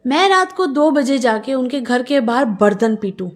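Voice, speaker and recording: Female interviewee, fairly high voice, argues a civic complaint emphatically with pointed rhetorical questions. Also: expressive, moderate pace. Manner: emphatic, argumentative, rhetorical questions, mixes in English phrases. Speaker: local resident interviewed about a civic complaint. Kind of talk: street interview, airing a complaint.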